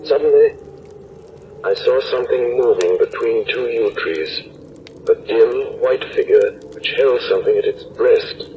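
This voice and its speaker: A man's voice: medium pitch, measured pace, lo-fi sound, natural voice. An actor playing an earnest, anguished doctor who narrates a horror tale from his diary in dramatic 1930s radio style, on an old noisy broadcast recording. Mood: hushed dread.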